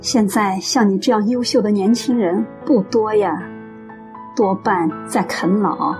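A woman acting a kindly, earnest middle-aged auntie in a radio drama, speaking in gentle, encouraging praise. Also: mid-range voice, measured pace, music in the background, lightly acted.

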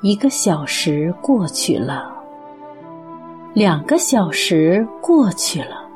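Slow, mellow woman narrator. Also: lowish voice, sing-song, faint music behind. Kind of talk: scripted radio drama narration.

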